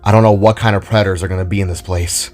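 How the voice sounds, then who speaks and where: A man's voice: sits low, fairly even intonation, racing, darkish tone, faint music behind, close to an everyday voice. A man voicing a practical fantasy warrior with a plain, casual way of talking. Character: curious, practical fantasy warrior, a younger brother.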